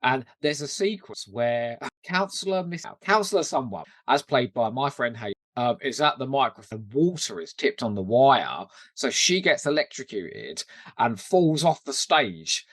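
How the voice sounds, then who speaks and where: Animated British man, jokey podcast co-host. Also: medium pitch, dry recording. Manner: enthusiastic, jokey, quick to laugh. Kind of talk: casual TV rewatch chat.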